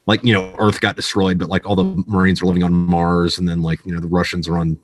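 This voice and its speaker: A low-pitched American man talks in a casual, rambling way, like a veteran swapping stories. His language is salty and slangy, and the sound is close and dry. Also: level tone, fast, no room sound. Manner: salty, frequent profanity, slangy filler-heavy delivery. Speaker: author guest, military veteran. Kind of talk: casual podcast chat, rambling storytelling.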